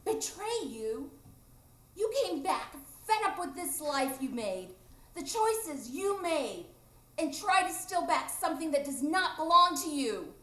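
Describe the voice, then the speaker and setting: A woman's voice: high-pitched, big pitch swings, measured pace, bright, forceful tone, heavily acted. A woman playing a proud, defiant boy who never grows up, in a live radio drama, declaiming boastfully. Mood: scornful. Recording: echoey live stage room.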